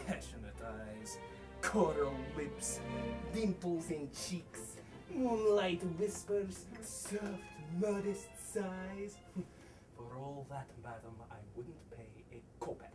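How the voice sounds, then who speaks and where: A man's voice: fairly high pitch, expressive, slow pace, over music. A male actor playing a blustering, mocking, hot-tempered boor in a comic stage play, declaiming with theatrical sarcasm. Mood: mock romantic. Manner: declamatory, sarcastic, exaggerated, swinging from bellows to murmurs. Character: blustering, boorish, misogynist middle-aged man, mocking and hot-tempered. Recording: distant mic on a theatre stage.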